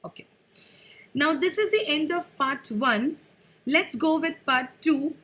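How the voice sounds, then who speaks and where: A woman examiner asking formal speaking-test questions in a brisk manner. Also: high voice, a bit bright.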